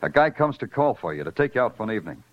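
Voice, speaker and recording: Casting: hard-boiled American police detective, low swinging delivery, world-weary and terse, old radio noir. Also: male voice, sing-song, racing. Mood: accusing, hard. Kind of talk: 1950s radio crime drama acting and noir narration. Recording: dull vintage radio recording, music behind.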